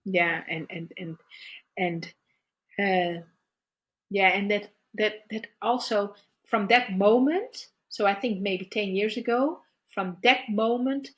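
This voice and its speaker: A middle-aged woman, a guest therapist, telling her personal story in conversation, speaking haltingly and repeating words as she searches for her thoughts. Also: mid-range voice, fairly bright tone, dull audio.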